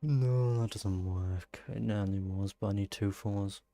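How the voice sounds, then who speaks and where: A man with a relaxed, creaky voice talks through a game in casual commentary. He thinks out loud, muttering and exclaiming to himself. The sound is close and dry, with faint music behind him. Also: lowish voice, unhurried, no room sound. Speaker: gaming streamer playing and commenting. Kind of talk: casual live gameplay commentary.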